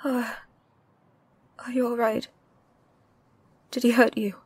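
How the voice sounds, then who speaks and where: Woman acting a breathy, anxious British heroine. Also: a little high, flat delivery, takes it slowly, no room sound. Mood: shaken, hushed. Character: protective, anxious young heroine haunted by loss. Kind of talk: audio roleplay acting.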